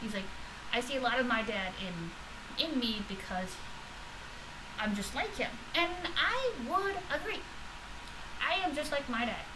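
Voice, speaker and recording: A woman's voice: fairly high pitch, lively intonation, a little slow, pauses often. Rambling woman vlogger, noisy recording. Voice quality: loud voice. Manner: rambling, with many fillers and restarts. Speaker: vlogger reacting to podcast episodes. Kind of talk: casual unscripted reaction vlog.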